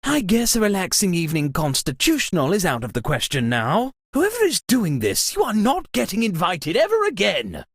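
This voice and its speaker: A pompous British aristocrat from a video game, flustered and easily indignant. His pitch swings wildly through every line with theatrical flourish. The voice has a husky edge and sits in a dry, close space. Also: no echo, exaggerated voice. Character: pompous, flustered aristocratic lord hosting a murder party. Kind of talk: video game character voice acting.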